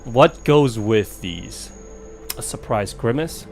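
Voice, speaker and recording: A man's voice: medium pitch, expressive, slow pace, gravelly. A man doing casual let's play commentary, jokingly talking back to the game. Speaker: game streamer. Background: game music.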